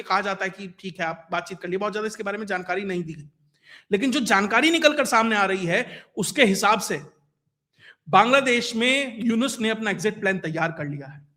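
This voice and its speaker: A man with a notably high, bright, forceful voice. He delivers political commentary in a colloquial way, explaining points to the viewer and stressing them with rhetorical asides. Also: a little fast. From India. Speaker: YouTube news commentator.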